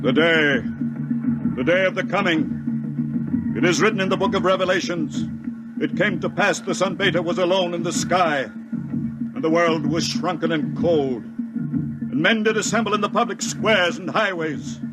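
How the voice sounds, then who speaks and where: High-voiced doomsday cult priest, slow and preachy, old radio, loud music under him. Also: male voice, rough recording. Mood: solemn. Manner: solemn, intoning scripture. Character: fanatical male cult priest, prophesying doom. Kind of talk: 1950s radio drama acting.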